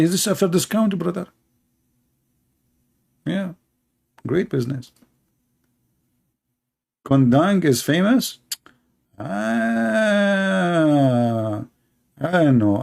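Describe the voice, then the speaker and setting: A man's voice: a little high, creaky, a little mellow. A man, hosting a commentary show and mocking video clips in a sarcastic, rambling way with many fillers.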